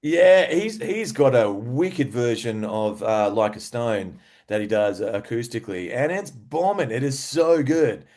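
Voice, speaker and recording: An Australian man speaks at a middling pitch in a casual interview. He tells band stories in loose, slangy language, with a laid-back, enthusiastic feel of a rock musician among friends. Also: lively intonation, a little slow, hardly any pauses, a little mellow. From Western Australia.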